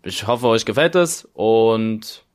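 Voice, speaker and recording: A young man hosting a podcast, chatty and relaxed, sharing one microphone with his guest. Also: fairly low pitch, slow. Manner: relaxed, chatty, jumps in often. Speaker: podcast host. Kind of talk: casual podcast chat. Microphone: sharing one mic with the guest.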